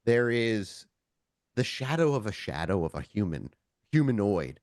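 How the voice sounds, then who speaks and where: A man's voice: fairly low pitch, very animated, measured pace, darkish tone, dry recording, clean studio sound. A man narrating a tabletop roleplaying game as game master, conversational and informal, with descriptive storytelling.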